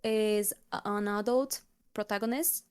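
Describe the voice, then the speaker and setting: A woman's voice: mid-range voice, fairly even intonation, slow pace, clean tone. A young woman from Brazil with a Brazilian Portuguese accent, a Portuguese native speaker chatting as an interview guest, often restarting and searching for words. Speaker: guest, K-pop cover singer. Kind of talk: casual interview chat.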